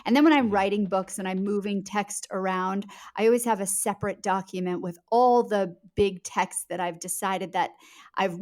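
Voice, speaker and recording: Woman author in conversational interview. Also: mid-range voice. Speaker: guest author.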